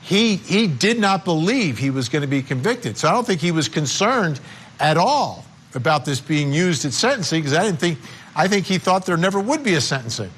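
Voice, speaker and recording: A man hosting a live legal news interview, speaking in emphatic, deliberate phrases. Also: on the high side, sing-song, fairly bright tone, slightly rough. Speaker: TV news host.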